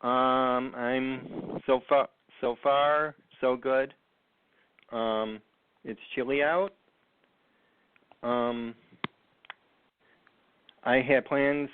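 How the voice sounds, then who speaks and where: A man hosting a call-in radio talk show, speaking slowly with frequent ums and pauses. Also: mid-range voice, steady tone, a little hiss or hum. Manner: slow, halting, many ums. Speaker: host. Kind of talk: casual radio chat. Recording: tinny phone line, dry.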